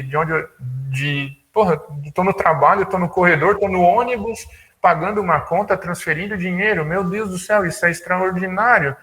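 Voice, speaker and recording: A man of about thirty gives an informal talk, colloquial and halting, often repeating words as he thinks. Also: fairly high pitch, bright, forceful tone. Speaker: guest speaker, tech entrepreneur. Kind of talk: informal talk at an online conference.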